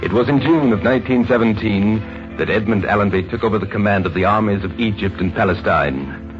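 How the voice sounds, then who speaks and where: A man narrating a 1950s radio drama in a grave, deliberate, suspenseful scripted style. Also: on the low side. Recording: muffled vintage radio recording. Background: dramatic music.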